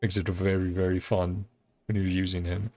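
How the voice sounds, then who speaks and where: A woman streaming a game and chatting casually as she plays, often laughing and filling pauses with fillers. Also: extremely low pitch, little pitch movement, darkish tone, no echo. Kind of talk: casual gameplay commentary.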